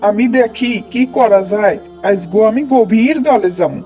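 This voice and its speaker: Man acting as poor village fisherman, high male voice, rural Bangladeshi accent, plaintive, cartoon, faint music. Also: normal speed, mellow, natural voice. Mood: pleading, placating. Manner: plaintive, placating, colloquial. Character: poor, hard-working, put-upon village fisherman husband.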